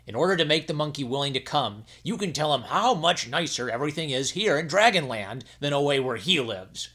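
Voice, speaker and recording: A man voicing a blustering dragon king who exclaims loudly. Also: fairly high pitch, expressive, brisk, slightly rough, heavily acted. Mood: commanding. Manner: loud exclaiming.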